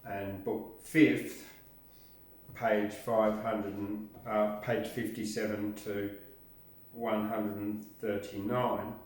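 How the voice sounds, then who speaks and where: A man's voice: lowish voice, little pitch movement, slow. An older man hosting casually, introducing a record and reading out a track list, recorded indoors by a camera across the room. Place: indoors, small home-like room with record player. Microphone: camera a few metres away. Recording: very echoey room, distant microphone.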